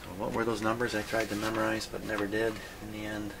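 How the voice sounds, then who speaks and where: Low, gravelly man muttering at a workbench. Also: completely flat delivery, noisy background. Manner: muttering to himself, halting. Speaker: host of a radio repair video. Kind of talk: unscripted hands-on demonstration, thinking aloud. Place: radio repair workshop.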